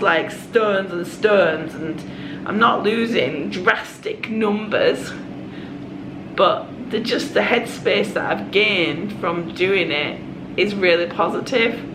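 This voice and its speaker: Croaky woman chatting, echoey room. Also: lowish voice. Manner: chatty and confiding, with quick asides. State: hoarse from a cold. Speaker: vlogger. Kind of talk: casual vlog chat. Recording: echoey room with background noise.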